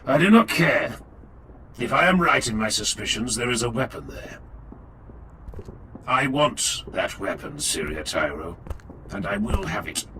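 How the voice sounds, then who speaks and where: With clear noise around him, a low-voiced, commanding warrior priest, acted by a male reader speaks gravely. His sci-fi war drama delivery is stern and measured, with wide swings in intonation. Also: expressive, pushed and bright. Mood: defiant, commanding. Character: stern, relentless armoured warrior chaplain, commanding and defiant. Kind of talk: dramatic audiobook acting. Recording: clear noise and ambience behind.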